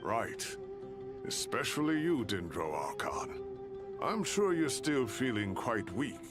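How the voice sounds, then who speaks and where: A man's voice: mid-range voice, huge pitch swings, takes it slowly. A man voicing a sly, teasing old rascal with a needling drawl in a video game. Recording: game music behind.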